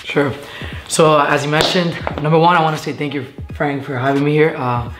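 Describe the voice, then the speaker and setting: A young man from Venezuela, a guest telling his story in a casual interview, earnest and halting with many fillers, sitting on a living room couch. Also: a little high, steady tone, over music. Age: young adult. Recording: echoey room with faint hiss.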